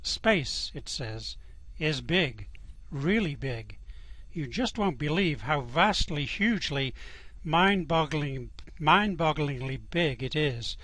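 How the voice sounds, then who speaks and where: Man as a highish, deadpan galactic guidebook narrator. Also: slow pace, no room sound, full character voice. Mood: drily amused. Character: calm, drily amused narrating voice of a galactic guidebook. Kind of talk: scripted comic radio narration.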